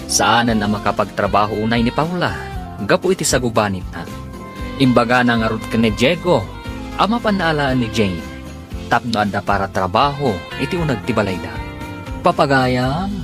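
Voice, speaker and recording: A narrator reading the episode recap and credits as a formal radio drama announcer. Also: medium pitch. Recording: announcer over music bed.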